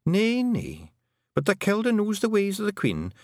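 A man's voice: high-pitched, wildly swooping pitch, normal speed, dry recording, studio quality, pitched above the performer's own voice, heavily acted. A man voicing a tiny, proud and determined female pixie with a Scottish accent in a storybook reading. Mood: assured.

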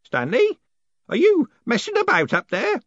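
A man voicing an excitable old postman who speaks in a high, eager, pleading way. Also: moderate pace, dry recording, highs cut off, full character voice. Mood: eager, desperate.

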